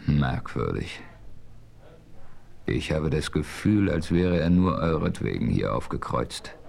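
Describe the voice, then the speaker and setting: A man playing a calm, thoughtful frontier hero who narrates his western adventure in a radio play with measured, formal diction. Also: rumbling low, gravelly, full character voice. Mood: earnest, probing. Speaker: narrator and lead character.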